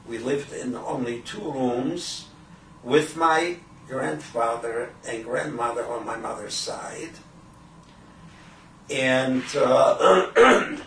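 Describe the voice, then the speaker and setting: An elderly man from Poland in his eighties, a Yiddish speaker, recalling his early life in a halting, deliberate way for an oral history. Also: mid-range voice, fairly bright tone. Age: 80s. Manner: halting, deliberate, frequent throat clearing. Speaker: Holocaust survivor giving testimony. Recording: roomy, distant microphone with faint noise.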